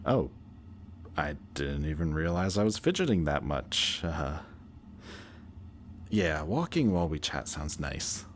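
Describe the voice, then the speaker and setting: A man acting a possessive, anxious antihero and devoted best friend in an emotional, halting audio roleplay addressed to the listener. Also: a bass voice, sing-song, slight background noise, exaggerated voice. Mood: tender, nervous. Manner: halting, hesitant, earnest.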